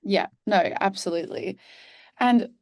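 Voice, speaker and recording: A woman's voice: mid-range voice, a little slow, a little mellow, no room sound. A woman from Australia interviewing a guest, upbeat with teasing questions. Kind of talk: casual interview chat.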